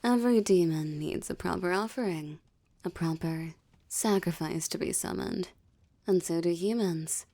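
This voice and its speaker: A seductive demoness, acted by a woman, gloats over a captive in an intimate roleplay drama. She speaks slowly in a dark, mellow voice with a gravelly creak. Her haughty, teasing lines often slip into breathy whispers. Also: lowish voice. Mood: smug, theatrical.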